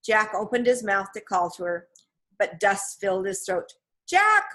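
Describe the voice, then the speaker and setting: A woman narrates a children's novel in a medium-pitched voice. She tells the story in a measured, expressive way, as a scripted read-aloud. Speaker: storybook reader.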